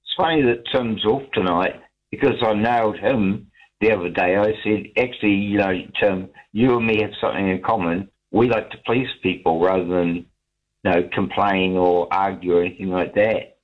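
An older man calls in to a talkback show and rambles in a friendly way, repeating himself as he goes. His voice is low. It comes through a dull, muffled phone line. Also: steady tone, normal speed. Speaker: caller. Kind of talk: casual phone call to radio show. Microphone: telephone.

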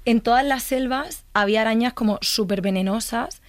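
A woman, a psychologist guest telling a lively story on a casual podcast. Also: on the high side, normal speed, no room sound. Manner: upbeat, storytelling.

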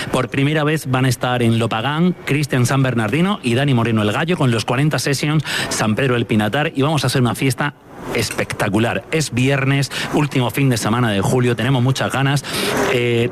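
A man's voice: medium pitch, slight background noise. A man announcing an event with enthusiasm, live from a beach. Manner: enthusiastic, promotional. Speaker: event presenter. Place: outdoors on a beach.

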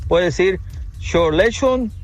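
A man's voice: high voice, animated, takes it slowly, soft background music. A man in a listener's voice message, joking and chuckling as he explains a gag.

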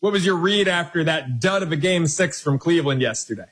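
Husky, slightly high-voiced male betting host. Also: animated, fairly bright tone. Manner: brisk, bantering, numbers-heavy. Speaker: sports betting radio host. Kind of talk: live sports betting radio hosting.

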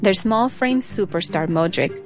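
A woman's voice: mid-range voice, expressive, measured pace, a little mellow, soft background music. A woman hosting a radio feature, telling a personal story in reflective, scripted narration.

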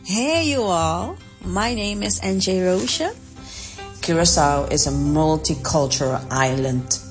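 Woman caller via voice note; lowish voice, earnest, faint music underneath. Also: slow pace. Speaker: listener sending a voice note.

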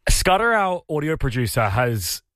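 An Australian radio co-host chatting in a quick, teasing way. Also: mid-range voice, very animated, a bit bright, no echo. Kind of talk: casual radio chat. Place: radio studio.

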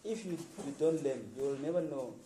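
A radio producer from Namibia talking informally to students, encouraging them with plain, repetitive sentences and restarts. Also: male voice, on the high side, fairly quick, mellow, reverberant, distant mic, a little hiss or hum, rough recording.

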